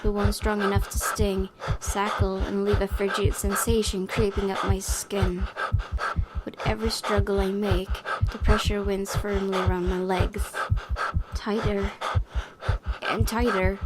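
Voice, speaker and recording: A young woman with a slightly raspy voice, a game streamer who reads a horror visual novel aloud in a hushed, dramatic way and breaks into hesitant asides. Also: medium pitch, flat delivery, a little slow, heavy background noise. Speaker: let's play host. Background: loud game music.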